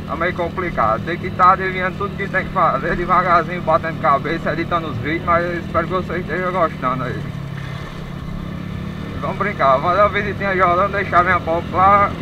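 A man's voice: fast, lo-fi sound. A man chatting casually and slangily as a motovlogger. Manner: informal, slangy. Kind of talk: casual vlog chat. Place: riding a motorbike on rural dirt roads, later a dim room. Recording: noisy action-camera audio, distant voice, motorcycle rumble.

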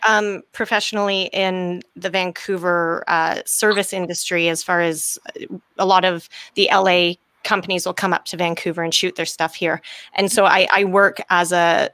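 A woman's voice: fairly low pitch, flat delivery, moderate pace, a bit bright, smooth, no echo. A woman in her thirties speaking casually and emphatically as an interview guest on a video call from home. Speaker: interview guest, actor and director. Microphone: computer mic on a video call.

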